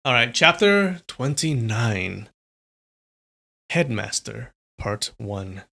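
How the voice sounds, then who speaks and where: A man's voice: medium pitch, sing-song, slow pace, gravelly, bright, forceful tone, a little hiss or hum. A young man from California reading a novel aloud on a livestream, chatting casually with viewers between passages.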